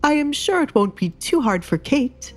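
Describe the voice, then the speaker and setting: A woman's voice: high-pitched, sing-song, moderate pace, voice pushed up. Woman acting as a middle-aged father, greedy, fawning, blustering, audio drama, music behind.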